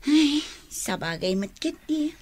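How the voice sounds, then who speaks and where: A woman's voice: high voice, sing-song, takes it slowly, heavily acted. A woman acting a sympathetic, chatty young companion in a radio drama. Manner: conversational. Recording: clear music behind the voice.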